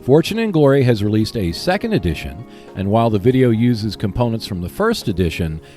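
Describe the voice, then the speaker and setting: A man hosting a hobby podcast, reading scripted announcements in a measured, clearly enunciated way. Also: fairly low pitch, very animated, mellow, soft background music. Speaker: podcast host.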